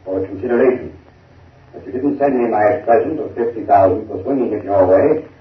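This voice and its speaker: An actor playing a self-satisfied corrupt senator, unhurried and knowing, in 1930s radio style. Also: male voice, lowish voice, recorded from a distance, lightly acted. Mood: sly, greedy. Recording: tinny, echoey film soundtrack from afar.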